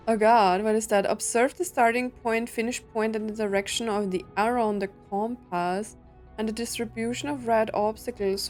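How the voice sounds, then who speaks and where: A woman doing casual video game commentary, musing out loud and reading on-screen text as she plays. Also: fairly high pitch. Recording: game music behind the voice. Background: video game music.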